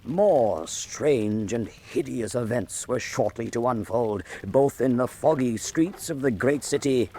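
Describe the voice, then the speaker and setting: A grave, shaken doctor narrating a radio mystery. His mid-pitched voice is husky, with very expressive, swooping intonation. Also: takes it slowly, few pauses. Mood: grave, ominous. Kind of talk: dramatic first-person scripted narration.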